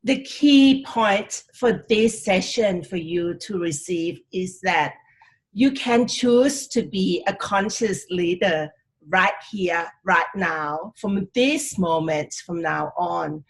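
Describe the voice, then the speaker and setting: A woman from Australia giving a calm, deliberate motivational talk to students as a guest speaker. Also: fairly low pitch. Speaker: guest speaker, leadership trainer.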